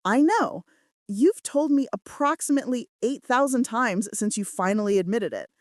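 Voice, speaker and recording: A woman's voice: on the high side, animated, moderate pace, no echo, close to an everyday voice. A woman voicing a practical, affectionate female assistant with dry wit in a romance audiobook. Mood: loving, teasing. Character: practical, wry, loving female assistant to mafia boss.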